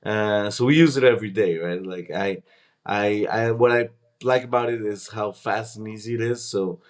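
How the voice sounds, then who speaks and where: A man's voice: fairly low pitch, moderate pace. A man in his twenties, born in Peru and raised in Canada, talking casually as a startup founder being interviewed. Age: 20s.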